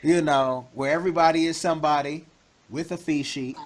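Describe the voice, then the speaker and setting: A man hosting an interview, chatty and advising, with jokes and loud laughs. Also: fairly high pitch, steady tone, brisk, very noisy. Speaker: interview host. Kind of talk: casual interview chat.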